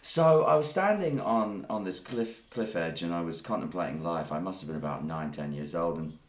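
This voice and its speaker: A man's voice: deep voice, expressive, moderate pace. A Greek-born man, hosting a live stream and spinning a rambling, jokey tall tale. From Greece. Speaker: stream host. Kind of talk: comedic live stream chat. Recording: roomy echo.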